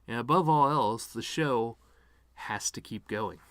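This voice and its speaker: A man's voice: mid-range voice, measured pace, fairly bright tone, no echo, studio quality, close to an everyday voice. A man performing a dramatic monologue as a bitter, grieving middle-aged cartoon horse-man, sardonic, rambling and wounded. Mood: sardonic, agitated. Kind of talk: dramatic reading of a eulogy monologue.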